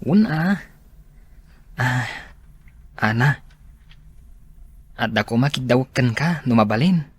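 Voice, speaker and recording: A man's voice: mid-range voice, animated, slow, slightly rough, no room sound. An actor playing an earnest young male student, a concerned friend, in an Ilocano radio drama. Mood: shy, hesitant.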